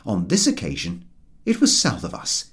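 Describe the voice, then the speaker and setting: Male narrator, comic novel reading, expressive, wide swinging intonation, slightly husky. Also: deep voice, normal speed. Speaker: audiobook reader.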